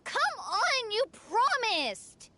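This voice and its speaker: Bright, high-pitched little kid. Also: female voice, sing-song, drawn-out words, slight background noise, heavily acted. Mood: impatient. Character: pouty, impatient small child. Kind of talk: video game voice acting.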